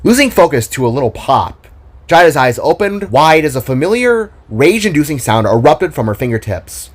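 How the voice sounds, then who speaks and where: A man narrating a scripted audio drama story in a deliberate, expressive storytelling manner. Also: a little high. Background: solo acoustic guitar music.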